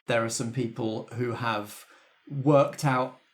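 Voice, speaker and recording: A man's voice: mid-range voice, fairly even intonation, slow pace. A man acting as game master, casually explaining the game and thinking aloud as he goes. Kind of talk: casual tabletop game facilitation, scripted credits at the end.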